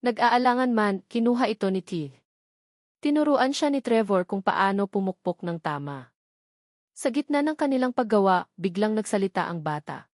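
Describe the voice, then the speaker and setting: A woman's voice: mid-range voice, lively intonation, normal speed, darkish tone, dry recording. Woman narrating a sentimental story, dry sound. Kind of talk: scripted dramatic story narration.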